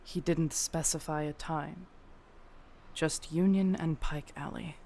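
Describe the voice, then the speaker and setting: An actress playing a troubled, newly turned vampire police detective in an audio drama, guarded and dry, with a hesitant delivery. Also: female voice, low voice, steady tone, takes it slowly, dark tone, slight background noise. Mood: uneasy, guarded. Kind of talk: scripted audio drama acting.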